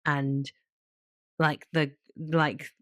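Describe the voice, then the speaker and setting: A woman co-hosting a podcast in casual conversation, supportive and halting, rephrasing her thoughts as she goes. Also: deep voice, little pitch movement, fairly bright tone, dry recording, clean studio sound. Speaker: podcast co-host.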